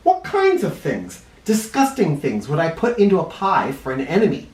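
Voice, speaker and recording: A man reading a children's picture book aloud in an expressive way, with dramatic emphasis. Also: a little high, normal speed. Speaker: storybook reader for kids. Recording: roomy echo, distant mic.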